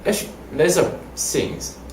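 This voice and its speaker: A young man whose first language is Mandarin, answering interview questions in halting, simple phrasing. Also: noisy background. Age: young adult. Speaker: language student. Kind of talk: casual interview. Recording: roomy echo.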